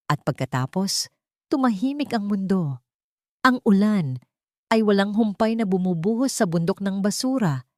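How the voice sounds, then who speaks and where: A woman's voice: medium pitch, animated, moderate pace, long pauses, no echo, clean studio sound. A woman narrating a dramatic story, her voice rising with emotion at tense moments.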